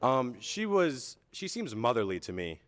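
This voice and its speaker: A man contestant on a dating show, explaining himself in a hesitant, halting way. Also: mid-range voice, very animated, in a large hall.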